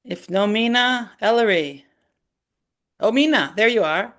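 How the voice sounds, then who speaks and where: A woman chairs an online meeting over a video call. She is brief and keeps calling on people by name. Her voice sits at an ordinary middle pitch. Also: expressive, unhurried, fairly bright tone. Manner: brief, prompting others by name. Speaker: meeting facilitator.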